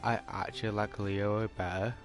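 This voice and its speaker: A man's voice: on the low side, little pitch movement, moderate pace, music in the background. A man chatting casually as he streams a video game, in a rambling, laid-back way. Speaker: gaming streamer. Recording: faint background noise.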